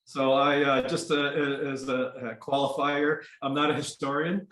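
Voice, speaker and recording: A man's voice: mid-range voice, normal speed, echoey room, a crowd in the background. An older man, raised in the United States with Ukrainian as his first language, talking casually as an interview guest over a video call, rambling with many fillers. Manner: relaxed, rambling with frequent fillers. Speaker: guest, doctor and lawyer.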